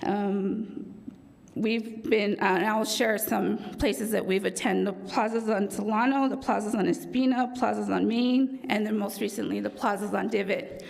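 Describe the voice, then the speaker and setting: A woman with a raspy voice giving a formal presentation to a city council for a police department, with frequent ums and self-corrections. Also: medium pitch, steady tone, moderate pace, a little mellow, rough recording. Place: council chamber.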